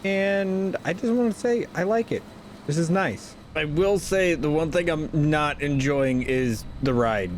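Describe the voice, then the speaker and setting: A man's voice: a little high, unhurried, slight background noise. A man with a stuffy nose, talking through his moves in casual, rambling gameplay commentary and often correcting himself. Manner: rambling, self-correcting, chatty. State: stuffy from a sinus infection. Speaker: gaming channel host.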